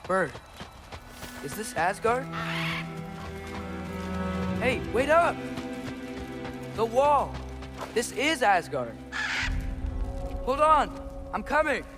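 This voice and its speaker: An actor playing an earnest, hesitant teenage boy apprentice in a video game, speaking in a halting, understated way. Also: extremely high pitch, heavily acted. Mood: searching, excited. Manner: halting, understated, a little unsure. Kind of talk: naturalistic video game acting. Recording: music behind the voice.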